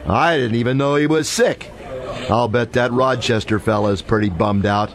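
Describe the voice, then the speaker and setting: An actor playing a cocky, clueless, wisecracking police detective in a comic old-time radio detective drama. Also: male voice, mid-range voice, moderate pace, natural voice. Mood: clueless, flippant. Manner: cocky, slangy, oblivious chatter. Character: brash, clueless, wisecracking male hard-boiled police detective.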